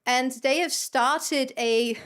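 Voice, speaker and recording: Woman, Dutch accent, high-pitched voice, casual game-stream chat, blunt teasing banter. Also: slow pace, fairly bright tone, clear, resonant tone. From Netherlands. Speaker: game livestreamer. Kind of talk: casual chat while gaming.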